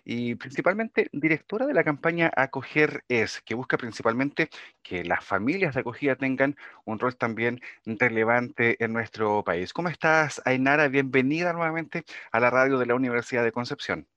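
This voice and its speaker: A man's voice: medium pitch, lively intonation, moderate pace, pushed and bright, no room sound. A man hosting a radio interview, asking long formal questions with hesitant fillers. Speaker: radio host. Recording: dry, dull lo-fi radio audio.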